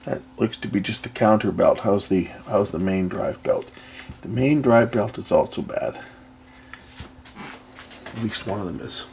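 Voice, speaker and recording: A man's voice: fairly low pitch, measured pace, pauses often, darkish tone. A man giving unscripted hands-on commentary as a repair video host, thinking aloud and often trailing off or questioning himself. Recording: clear background noise, room ambience.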